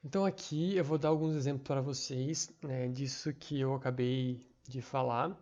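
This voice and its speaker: A young man from Brazil giving a screen-recorded programming lesson, halting, with many fillers and restarts. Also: medium pitch, fairly even intonation, a little mellow. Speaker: tutor. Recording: dull lo-fi mic.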